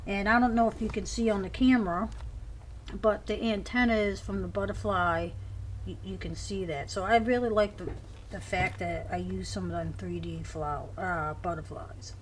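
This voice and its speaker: A woman's voice: mid-range voice, fairly even intonation, moderate pace. A woman with a scratchy voice, chatting casually as she shows off each page of a handmade journal at home. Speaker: crafter showing a handmade journal. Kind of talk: casual show-and-tell.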